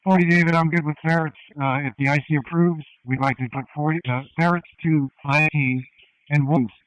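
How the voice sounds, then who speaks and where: Smooth-voiced man on dry police radio. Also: on the high side, steady tone, brisk, a bit bright, no echo, rough recording. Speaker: police officer in tactical team. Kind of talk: tactical police radio traffic.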